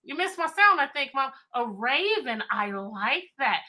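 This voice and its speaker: A middle-aged American woman hosting a chatty, interactive livestream for children, animated and repeating phrases and questions to draw listeners in. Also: sits high, unhurried. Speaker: teacher, children's read-aloud host. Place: indoor room with bookshelves.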